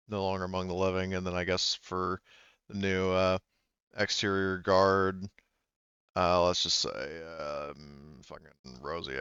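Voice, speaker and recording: A man's voice: sits low, smooth, no room sound, muffled recording. A man running a tabletop roleplaying game as game master, talking in a rambling way with many fillers and restarts.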